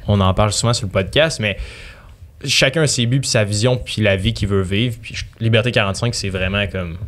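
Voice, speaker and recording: A young man with a Québécois accent, a podcast guest explaining his ideas with halting speech. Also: on the low side, a bit bright. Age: young adult. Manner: halting, with many fillers. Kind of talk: casual chat.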